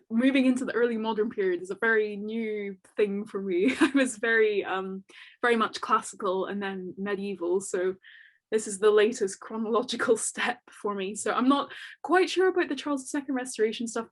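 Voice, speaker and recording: A woman's voice: mid-range voice, brisk. Young woman from Northern Ireland with an English accent, informal panel answers, lots of fillers. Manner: chatty, many fillers, laughs easily. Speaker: doctoral student speaker. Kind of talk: academic panel Q&A.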